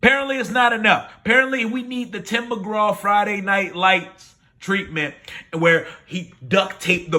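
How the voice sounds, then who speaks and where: A hoarse man ranting casually and with lots of swearing in a sports fan video recap. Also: high voice. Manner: animated, profane, opinionated. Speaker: sports vlogger.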